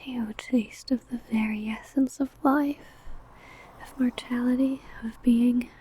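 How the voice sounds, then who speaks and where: Woman as a slow, whispering, possessive vampire lover. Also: fairly high pitch, fairly even intonation, dark tone. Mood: hungry adoration. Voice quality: breathy, unvoiced whisper. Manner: slow, intimate, crooning. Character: possessive, doting ancient female vampire, predatory yet tender. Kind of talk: whispered ASMR roleplay.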